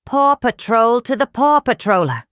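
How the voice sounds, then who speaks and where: A confident boy hero from a children's storybook, voiced by a woman. He sounds upbeat and commanding, pitched up from plain narration. The sound is dry. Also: big pitch swings, measured pace, a bit bright, dry recording. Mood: confident, urgent. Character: confident young boy leader of rescue pups.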